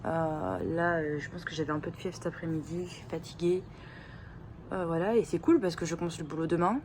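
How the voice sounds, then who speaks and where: A woman's voice: sits low, steady tone, fairly quick, darkish tone, a little hiss or hum. A young woman with a cold, chatting casually into her phone for a vlog, rambling and slangy with many fillers. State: sick with a blocked nose and sore throat. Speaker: vlogger. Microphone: phone held at arm's length.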